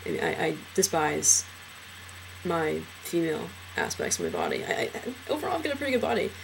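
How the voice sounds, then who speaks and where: A young transgender man in a casual personal vlog, talking hesitantly with many fillers and restarts, his trained low voice deepened further by a cold. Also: wildly swooping pitch, brisk, vocal fry, background noise. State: voice lowered by illness.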